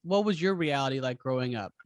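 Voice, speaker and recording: A man's voice: mid-range voice, lively intonation, fast talker, clean tone, dry recording. A man hosting a podcast, interviewing a guest in a conversational style. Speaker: podcast host.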